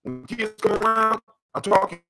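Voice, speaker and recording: Talk host, American, creaky delivery, emphatic and repetitive, teaching conversationally over music. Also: mid-range voice, expressive, moderate pace, pushed and bright. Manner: emphatic, repeats phrases, checks in with "you know what I'm saying". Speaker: host of a call-in talk on folktales.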